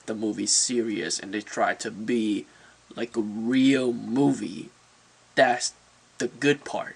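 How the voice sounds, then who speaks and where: A man with a mid-pitched voice hosts a casual review, speaking straight to his viewers. He is informal and opinionated, often doubling back on words as he works out how to phrase his verdict. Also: creaky, a bit bright, slightly rough. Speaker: movie reviewer, channel host.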